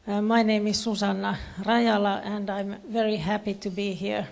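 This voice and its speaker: Creaky-voiced Finnish woman presenting haltingly. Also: medium pitch, level tone. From Finland. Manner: halting, searching for words in a second language. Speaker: conference speaker, education official. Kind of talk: presentation to an audience with slides.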